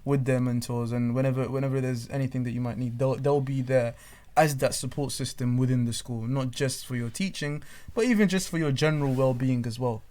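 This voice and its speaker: A man's voice: mid-range voice, fairly even intonation, brisk, darkish tone. A man co-hosting a casual chat, giving earnest advice to trainee teachers and often repeating words as he thinks. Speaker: podcast co-host.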